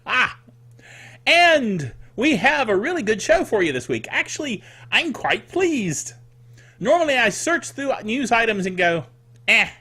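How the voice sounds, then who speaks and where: A man's voice: very high-pitched, breathy edge. An older man hosting a casual solo tech show, rambling playfully like a self-styled curmudgeon, stretching words for emphasis and tossing in spoken sound effects. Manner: animated, emphatic delivery with drawn-out words. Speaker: podcast host. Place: small home studio.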